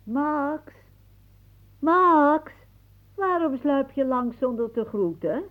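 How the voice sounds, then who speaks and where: An actress playing a wry, imaginative old aunt in a Dutch radio play, musing theatrically with pauses. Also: female voice, sits high, expressive, full character voice. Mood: insistent. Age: older. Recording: muffled phone-like old radio recording.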